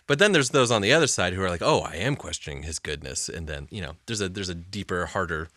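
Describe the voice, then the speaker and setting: Man co-hosting a church chat, bright forceful voice, pitch swinging widely, dry close sound. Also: fast talker, no room sound. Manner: animated, laughs often, many yeahs. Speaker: co-host of church midweek chat. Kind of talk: casual faith conversation.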